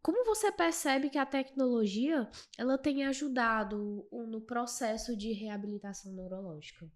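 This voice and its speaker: Woman, Brazilian accent, interview host, short supportive remarks, fairly high pitch. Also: normal speed. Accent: Brazilian Portuguese. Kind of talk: interview questions and backchannels.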